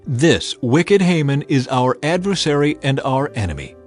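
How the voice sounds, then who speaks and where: A dignified queen as a male narrator acts her speaks earnestly and formally. The delivery is solemn yet varied in pitch, over faint music, as in an audiobook drama. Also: very animated, normal speed, natural voice. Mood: accusing. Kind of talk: dramatized audiobook character acting.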